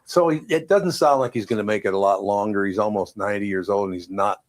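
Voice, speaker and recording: An older man, hosting a casual podcast chat and telling stories with a relaxed manner. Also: fast talker. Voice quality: deep voice. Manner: relaxed storytelling, chuckles. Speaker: podcast host.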